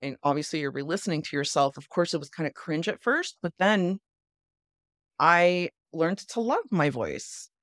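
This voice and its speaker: Woman, low voice, casual podcast host, animated chatter, dry mic. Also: normal speed, dry recording.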